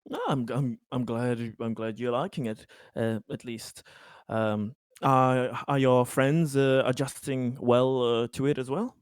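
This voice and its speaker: A polite, considerate colonist, played by a man, speaks slowly and haltingly in improvised tabletop roleplay. The voice is clean and smooth. The sound is close and dry. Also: medium pitch, fairly even intonation, no echo, close to an everyday voice. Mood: polite, reassuring.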